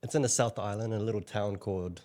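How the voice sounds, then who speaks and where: Low-voiced New man chatting casually. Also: fast, a little mellow. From New Zealand. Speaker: interview guest, content creator. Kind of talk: casual chat.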